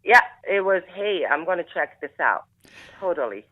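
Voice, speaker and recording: A woman's voice: lowish voice, very animated, moderate pace. Upbeat Flemish woman on a phone line. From Belgium. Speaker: guest. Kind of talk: casual interview on a phone line.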